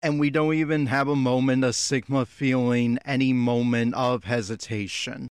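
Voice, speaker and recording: A man with a middling pitch delivers critical commentary in the style of a reaction podcast. His manner is blunt and exasperated. The recording is dry and close, with little room sound. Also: fairly even intonation, normal speed, clear, resonant tone, no echo. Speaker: podcast host giving audio commentary.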